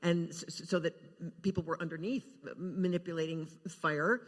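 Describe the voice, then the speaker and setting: Halting, earnest woman in echoey hall. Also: on the low side, steady tone. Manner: halting, earnest, stammering restarts. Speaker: panel guest, actress. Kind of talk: casual recollection on a live panel. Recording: echoey hall, live panel sound.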